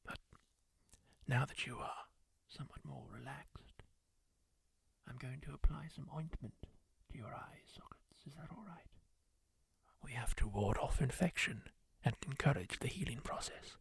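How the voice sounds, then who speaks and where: A man doing a whispered roleplay, voicing a kindly former war surgeon who soothingly tends a wounded stranger, gentle and reassuring up close to the mic. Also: mid-range voice, vocal fry, slightly rough, no echo, full character voice. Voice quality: soft whisper, slow and soothing. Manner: gentle, reassuring, asks permission. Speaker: ASMR roleplayer.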